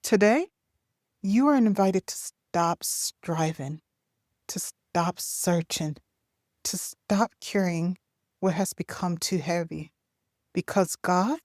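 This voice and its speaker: Female meditation narrator, mellow and dark in tone, gentle and unhurried, closely recorded in a dry space. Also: fairly low pitch, no echo. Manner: gentle, unhurried, deliberate phrasing with long pauses.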